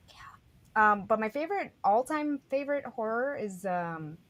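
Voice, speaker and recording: A woman's voice: fairly high pitch, animated, moderate pace, a bit bright, no room sound. A young adult woman chatting casually as a panelist on a talk show. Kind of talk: casual chat.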